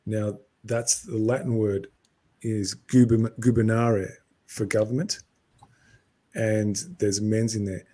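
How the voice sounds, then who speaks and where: A man's voice: on the low side, little pitch movement, slow, warm tone. A man on a live stream, answering viewer comments in a lecturing way.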